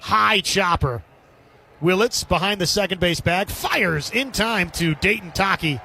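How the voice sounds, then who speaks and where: A man's voice: sits high, lively intonation, measured pace, gravelly, bright, forceful tone, slight background noise. A man doing live radio play-by-play commentary of a baseball game.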